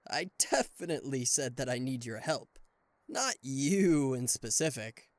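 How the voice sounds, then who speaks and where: A man playing a charismatic, flustered king of hell as a boyfriend in an ASMR roleplay audio drama, with a theatrical, halting delivery. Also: medium pitch, lively intonation, dry recording, natural voice. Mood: mock offended. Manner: theatrical, hesitant stammering, exasperated sighs.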